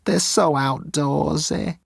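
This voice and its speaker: A man voicing a timid, pleading young alien officer with a squeaky, flustered voice in a sci-fi audiobook. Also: steady tone, slow, gravelly, husky, dry recording, studio quality, full character voice. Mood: anxious, flustered. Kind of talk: audiobook character acting.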